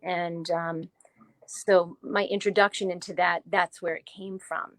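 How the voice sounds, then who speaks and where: A woman in her forties from New Jersey, a guest author telling her life story in a casual interview, chatty and animated, laughing often. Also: on the low side, unhurried, no room sound. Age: 40s.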